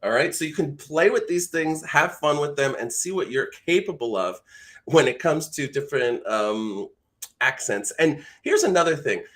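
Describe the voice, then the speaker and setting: A man's voice: a little high, lively intonation, moderate pace, fairly bright tone. Encouraging American male voice-over coach. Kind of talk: casual live instruction.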